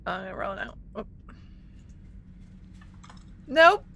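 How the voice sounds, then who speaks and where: Female tabletop player: slow, casual chat, low-set voice with faint noise behind it. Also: sing-song, vocal fry, a bit bright. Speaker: player in a tabletop role-playing game.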